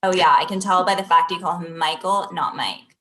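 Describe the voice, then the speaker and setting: A woman's voice: lowish voice, brisk, fairly bright tone, close to an everyday voice. Sarcastic woman acted out at a bar. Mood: dismissive. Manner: sarcastic, curt. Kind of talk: comedy script table read.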